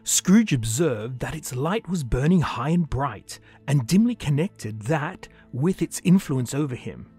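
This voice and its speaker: A man narrating a scripted story in a measured, dramatic way. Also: fairly high pitch, animated, dark tone, slightly rough, soft background music.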